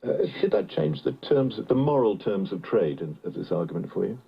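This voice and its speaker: A male radio presenter putting formal questions in measured, erudite phrases, heard through a radio. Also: on the low side, very animated, vocal fry, slightly rough. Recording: muffled radio played through speaker.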